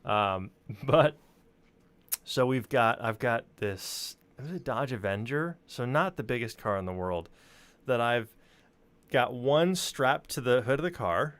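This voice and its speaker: A man's voice: medium pitch, lively intonation, unhurried, a bit bright, no room sound. A man telling anecdotes in casual chat, rambling informally and swearing freely. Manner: informal, profane, rambling storyteller.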